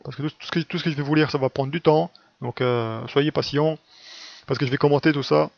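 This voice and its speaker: Higher-pitched, gravelly man explaining informally. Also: fairly even intonation, brisk, no echo. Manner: colloquial, didactic, frequent "hein" and "quoi" tags. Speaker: religious video teacher. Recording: dull, lo-fi voice recording.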